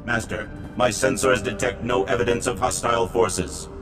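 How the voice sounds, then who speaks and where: A man's voice: mid-range voice, normal speed, music in the background, lightly acted. A man voicing a dutiful, literal-minded droid in a video game, reporting in a formal, clipped way. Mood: matter-of-fact.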